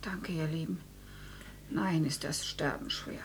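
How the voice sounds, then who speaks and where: A woman playing a mild, self-effacing dead mother who still talks, fussy and gently plaintive, in a German radio drama. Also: fairly high pitch, animated, moderate pace. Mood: weak, resigned.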